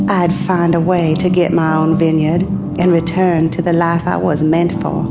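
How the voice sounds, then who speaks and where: Slow, low-voiced, bitter scheming wife. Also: female voice. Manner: slow, deliberate, bitter delivery. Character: discontented, scheming unfaithful wife of a struggling vineyard farmer. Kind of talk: dramatic audio play with first-person narration.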